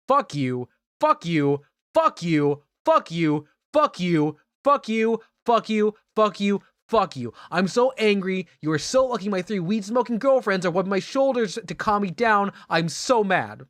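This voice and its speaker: A man's voice: a little high, fairly quick, few pauses, no room sound, clean studio sound, close to an everyday voice. A man playing an enraged, melodramatic tough guy who rants and threatens in a mock-dramatic comedic reading. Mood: furious. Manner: ranting, repetitive, swearing threats. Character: enraged, melodramatic young tough guy bragging about three girlfriends.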